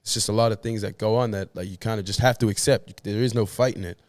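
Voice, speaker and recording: A man speaks in a somewhat low voice, recorded dry and close. He talks casually as a podcast guest, thinking aloud and often restarting his sentences. His pace is loose and searching. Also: fairly even intonation, no room sound. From Australia. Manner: thoughtful, halting, repeats words while searching for phrasing. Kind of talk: casual conversation.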